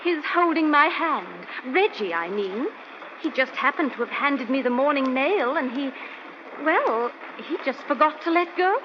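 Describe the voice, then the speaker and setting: A woman's voice: sits high, expressive, unhurried. An actress playing an earnest, anxious young lady in an old-time radio drama, genteel and flustered. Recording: muffled, noisy old radio recording.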